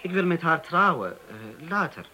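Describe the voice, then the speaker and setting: A man's voice: a little high, sing-song, pushed and bright, a little hiss or hum, full character voice. A man playing an earnest young Turkish waiter. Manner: earnest, halting with hesitations. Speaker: Turkish waiter in a police interview. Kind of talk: radio play acting.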